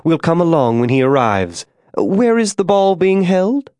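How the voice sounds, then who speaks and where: The proper, wistful wife chatting politely in a hotel doorway in a western audiobook, stubbornly proud, pitched up a bit, as read by a man. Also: big pitch swings, a little slow, a little mellow, no echo. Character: proud, genteel, stubbornly waiting frontier wife. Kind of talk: audiobook character dialogue.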